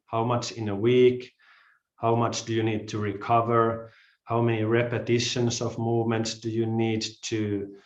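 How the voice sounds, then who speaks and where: Male instructor with a Finnish accent for a live class intro: slow, halting delivery, muffled roomy sound. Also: medium pitch. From Finland. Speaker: yoga and calisthenics instructor. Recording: roomy, slightly dull live-stream audio.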